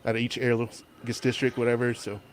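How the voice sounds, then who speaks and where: Mid-pitched man, casual chat. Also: completely flat delivery, quick pace, slight background noise, poor audio. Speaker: gaming panel guest.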